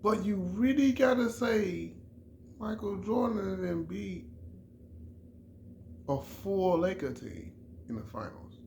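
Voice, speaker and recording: A man's voice: high voice, darkish tone, slight background noise. A middle-aged man from Chicago giving an emphatic, repetitive sports opinion in a casual vlog, often restarting his phrases. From Chicago, USA. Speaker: vlogger.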